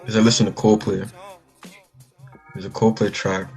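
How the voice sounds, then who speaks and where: A man's voice: fairly low pitch, level tone, normal speed, a little mellow, soft background music. A young man from the Bahamas, a musician talking casually in an interview, rambling with lots of restarts. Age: young adult. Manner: rambling, many likes and restarts. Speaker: musician guest.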